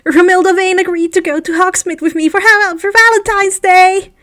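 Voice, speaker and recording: A woman voicing an eager, excitable young schoolboy in a storybook, with a bubbly, crowing manner. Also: extremely high pitch, normal speed, voice pushed up. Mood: crowing excitement.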